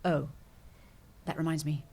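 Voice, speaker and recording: A woman's voice: deep voice, flat delivery, measured pace, dark tone. An actress with a British accent playing a chatty, newly patient visitor who dotes on her pet, in an audio comedy. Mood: cheerful, chatty.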